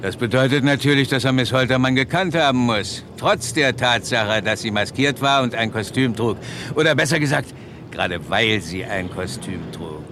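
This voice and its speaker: Actor as gruff, grumbling police inspector, noisy recording. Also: male voice, mid-range voice, normal speed, natural voice. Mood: explaining, self-assured. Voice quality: grumbling, sometimes indistinct. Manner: sly, self-assured, deductive. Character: gruff English police detective inspector, shrewd, hearty eater. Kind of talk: 1960s German radio crime play. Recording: noisy old 1960s radio play recording.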